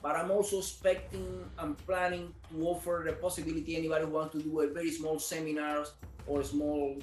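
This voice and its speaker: A man's voice: a little high, level tone, a little fast, roomy sound, faint music behind, low-quality recording. A man from Cuba, a business owner answering interview questions earnestly, repeating words as he searches for them. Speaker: martial arts studio owner.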